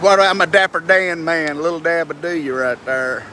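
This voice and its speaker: A man's voice: a little high, moderate pace, bright, forceful tone. A man in his fifties or sixties with a Southern US accent, chatting casually and jokily to livestream viewers in a folksy, teasing way. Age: 50s to 60s. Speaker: vlogger on a livestream, greeting viewers. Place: outdoors on a windy ocean beach. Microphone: phone at arm's length. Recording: outdoor phone audio, wind and surf noise.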